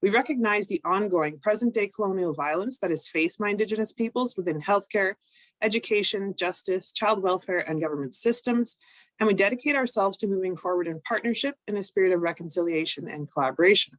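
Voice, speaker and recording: A woman, recovering from a cold, presenting an online training session in a measured, explanatory way with frequent ums. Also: medium pitch, no echo. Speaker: workshop presenter.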